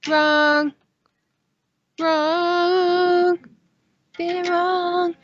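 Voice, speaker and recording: A woman's voice: very high-pitched, level tone, extremely slow, lo-fi sound. A woman co-hosting a casual live stream, bantering in a crude, teasing, repetitive way and swearing freely. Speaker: podcast co-host on a live stream.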